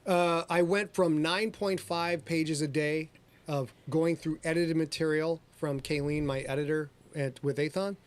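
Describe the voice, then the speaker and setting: A man's voice: on the high side, fairly even intonation, a little slow. A middle-aged man hosting a podcast livestream, joking and boasting in a showman-like way. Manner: boastful, jokey, showman-like, addresses ladies and gentlemen. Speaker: podcast host and author. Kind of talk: casual livestream chat.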